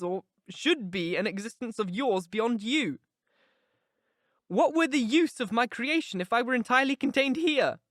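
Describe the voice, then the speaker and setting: A reader voicing a headstrong young woman, pitched well above the narration and pushing hard on her words. She is impetuous and declamatory, caught up in passion. The recording is dry and close, with no room around it. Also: dry recording, close to an everyday voice. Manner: intense, emphatic, declamatory. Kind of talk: audiobook character reading.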